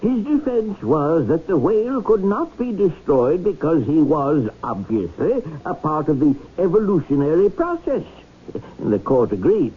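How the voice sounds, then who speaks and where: Husky, formal, solemn lawyer. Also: male voice, a little high, very animated, heavily acted. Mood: explanatory, pompous. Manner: formal, measured, legalistic. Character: solemn male lawyer, formal, patiently resigned. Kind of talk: 1970s radio drama acting. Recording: tinny narrow-band 1970s radio recording.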